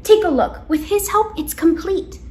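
A woman's voice: very high-pitched, measured pace, dark tone, a little hiss or hum, pitched above the performer's own voice. A woman voicing a friendly but timid, mournful boy ghost from a storybook in a dramatic, plaintive way. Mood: pleading.

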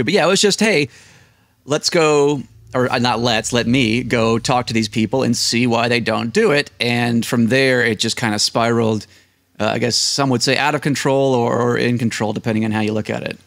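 A man's voice: mid-range voice, brisk, fairly bright tone, no echo, studio quality. A man in his thirties with an American accent, telling casual anecdotes as an interview guest and acting out what people said. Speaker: podcast guest. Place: small indoor room, seated at desk. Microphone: close desk microphone on video call.

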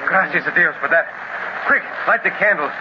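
An actor playing a courteous, resourceful Latin adventurer, speaking in formal phrasing in a 1930s radio drama. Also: male voice, sits high, moderate pace, highs cut off, natural voice. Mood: steady. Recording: scratchy old radio transcription with hiss.

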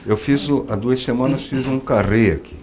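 A man's voice: medium pitch, moderate pace, crowd noise. A middle-aged man hosts a cooking show and chats through each step as he cooks on a TV studio kitchen set. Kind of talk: casual chat while cooking.

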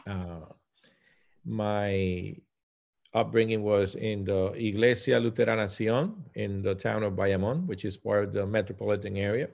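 A man in his fifties from Puerto Rico with a Puerto Rican accent, a native Spanish speaker, talking conversationally as a guest pastor on a video call, halting and correcting himself. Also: lowish voice, steady tone, gravelly, darkish tone. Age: 50s. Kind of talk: conversational personal account. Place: small room at home, indoors.

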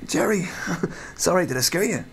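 A man's voice: high voice, lively intonation, normal speed. A man in an audio drama playing an easygoing, amused housemate who talks in a casual, hesitant way. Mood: amused, bemused. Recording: clear background ambience noise.

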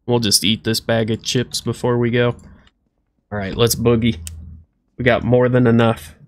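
A man's voice: mid-range voice, flat delivery, normal speed. A man in his thirties casually narrating a survival game as he plays, talking to himself in a goofy, playful way. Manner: goofy, talks to himself, playful silly voices. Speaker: let's play streamer. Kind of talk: casual gameplay commentary.

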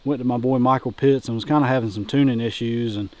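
A man's voice: medium pitch, steady tone, fast, a little mellow. A man in his thirties hosting a casual hunting vlog, talking in a folksy way with hunting slang. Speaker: hunting show host. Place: outdoors in open country, and in a vehicle cab. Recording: noisy outdoor and vehicle ambience.

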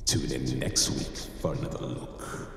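A man voicing a grim, theatrical horror-show host in a very deep, gravelly voice. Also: flat delivery, a little slow, fairly bright tone, exaggerated voice. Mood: ominous. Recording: cavernous echo with faint noise.

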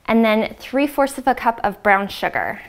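A woman's voice: fairly high pitch, sing-song, normal speed, slight background noise. A woman in her thirties with an American accent, casually explaining a recipe step by step to camera as a cooking host. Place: home-style kitchen, indoors. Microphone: lapel mic.

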